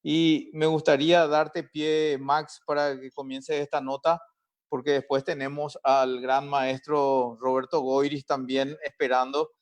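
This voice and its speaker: A man runs a live online panel in a chatty, easygoing way, introducing guests and handing them the floor. His voice sits at an ordinary middle pitch. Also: little pitch movement, unhurried. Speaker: host and moderator.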